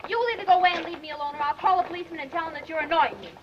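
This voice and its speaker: A woman's voice: very high-pitched, racing. An actress playing a proud, wary young woman down on her luck, with prickly, clipped replies in 1930s film style. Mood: annoyed. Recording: muffled, noisy 1930s film soundtrack.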